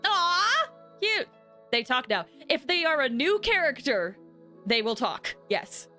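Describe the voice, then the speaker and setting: A woman game streamer chatting casually with her viewers in an excitable, chatty manner. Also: high-pitched, slow pace, faint music behind. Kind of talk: casual livestream chat with viewers.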